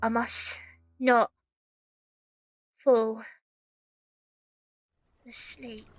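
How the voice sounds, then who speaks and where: A woman's voice: a little high, level tone, extremely slow. An actress playing a tough, weary young Victorian match girl, acting and narrating in an audio drama. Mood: exhausted, grim.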